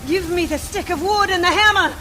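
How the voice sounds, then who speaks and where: A woman's voice: very high-pitched, brisk. A woman playing an entranced young wife under a vampire's spell in a radio play. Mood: demanding. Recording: noisy, rain-like background ambience.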